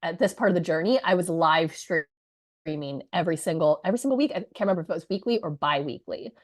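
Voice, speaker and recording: A woman in her thirties, hoarse from a cold, telling an audience the story of her launch in a chatty, energetic way with many asides. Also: fairly low pitch, quick pace. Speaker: business coach presenting her launch story. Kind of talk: live presentation to an audience.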